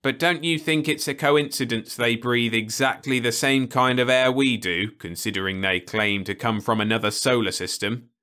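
A man's voice: mid-range voice, normal speed, hardly any pauses, bright, forceful tone, voice pushed up, close to an everyday voice. A man voicing a sly, burly uncle who probes with leading questions, in a storybook reading. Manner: sly, probing. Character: burly, sly, hairy middle-aged uncle.

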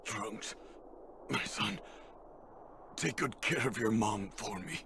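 Low, slow, proud warrior father. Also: background noise. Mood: grave, tender. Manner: grave, deliberate. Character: proud, stern warrior father, grown man. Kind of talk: anime video game dub acting.

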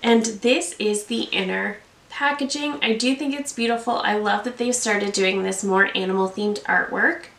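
A woman's voice: mid-range voice, normal speed, fairly bright tone, echoey room. A woman in her thirties with a congested cold voice, reviewing and applying makeup in a chatty, enthusiastic way. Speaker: beauty vlogger. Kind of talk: product review and makeup tutorial. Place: indoor room, seated at a plain backdrop.

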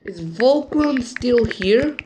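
A woman with a high voice reads chat messages aloud at an unhurried, halting pace. She mutters asides between the lines, recorded on a phone mic with a faint hiss behind her. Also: animated, lo-fi sound. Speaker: channel owner. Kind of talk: reading out group chat messages over a screen recording.

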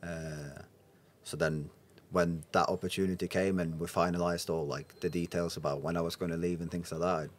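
Male, twenties, very low voice, relaxed podcast guest delivery. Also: moderate pace. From Norway. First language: Norwegian. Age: 20s. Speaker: podcast guest, professional footballer. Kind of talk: casual podcast conversation. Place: indoor lounge booth. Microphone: podcast microphone on boom arm.

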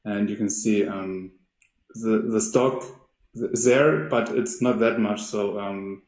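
A man's voice: lowish voice, slow pace, a little mellow, reverberant, low-quality recording. A man giving a hesitant webinar introduction with many fillers and restarts. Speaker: company representative. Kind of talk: webinar presentation.

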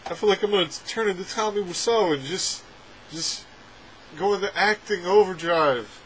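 A young adult man reviewing a film from a room at home, talking sarcastically with animated, exaggerated outbursts. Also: high-pitched, moderate pace, slight background noise, low-quality recording. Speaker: movie reviewer. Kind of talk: casual ranting film review. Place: home room with packed shelves, indoors. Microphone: webcam framing at desk.

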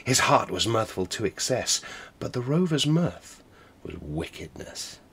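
A man storyteller reciting a narrative ballad in a theatrical manner, swelling from hushed to booming. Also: very animated, measured pace, husky.

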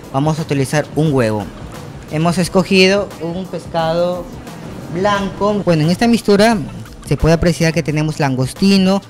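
A young male chef in a restaurant kitchen, explaining his ingredients in a matter-of-fact way. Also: fairly high pitch. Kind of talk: cooking demonstration. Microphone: handheld mic held by host.

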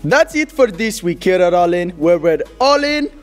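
Guy doing a high voiced goofy puppet sports anchor, cocky n rambly slang, music under it. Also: big pitch swings, normal speed. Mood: cocky, insistent. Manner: rambling, slangy, emphatic, filler-heavy. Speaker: sports segment host. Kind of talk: comic sports news commentary.

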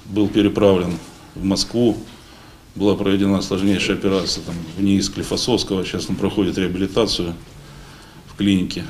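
A man in his forties giving a halting, informal speech at a meeting, pausing often. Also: sits low, little pitch movement. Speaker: paratrooper unit member addressing a meeting. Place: meeting hall at a lectern. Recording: noisy room recording.

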